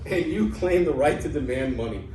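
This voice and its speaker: A man's voice: a little high, animated, brisk, mellow, natural voice. A man acting a crafty, mocking old Greek father in an amateur reading of a classical comedy, pompous and theatrical. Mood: scornful, pompous. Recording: echoey room, distant mic, faint noise.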